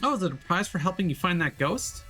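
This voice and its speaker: A man's voice: high-pitched, sing-song, quick pace, sharp tone, soft background music, voice pushed up, heavily acted. A man voicing a cheerful, innocent young boy who is eager and devoted to his dog. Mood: eager, bright.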